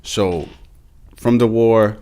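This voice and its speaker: Low-voiced man from the former Yugoslavia. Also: steady tone, extremely slow, dark tone. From former Yugoslavia, grew up mostly in Serbia. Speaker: podcast cast member. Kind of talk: casual group discussion, personal storytelling.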